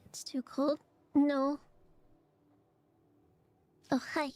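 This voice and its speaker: Raspy-throated young woman streamer, high voice. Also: level tone, extremely slow, a little mellow, no echo. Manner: rambling, chatty, many fillers and restarts. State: slightly hoarse from allergies. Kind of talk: casual chat to stream viewers.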